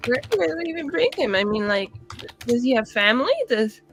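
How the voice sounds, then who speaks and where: A woman's voice: a little high, animated, a little fast, faint music behind. A woman improvising in character at a tabletop roleplaying game, faltering and repeating words as she goes.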